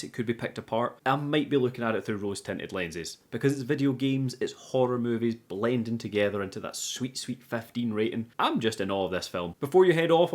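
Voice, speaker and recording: Young man, cheeky sweary film recapper. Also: mid-range voice. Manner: irreverent, cheeky, chatty asides. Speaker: host of a film review channel. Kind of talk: casual, sweary comedic movie recap and commentary.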